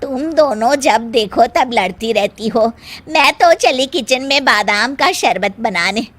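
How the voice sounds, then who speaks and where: A woman's voice: on the high side, lively intonation, measured pace, a bit bright. A woman voicing an exasperated middle-aged aunt who chides her relatives in a moral story. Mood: fed up. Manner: chiding. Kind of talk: acted dialogue in a Hindi moral story.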